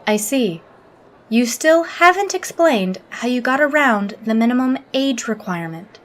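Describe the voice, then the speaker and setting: A woman playing a literal, humorless alien Starfleet officer in an audio drama, speaking formally and exactly with a deadpan manner. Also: fairly high pitch, a little slow. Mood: cool, probing. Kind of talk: scripted audio drama log and interview.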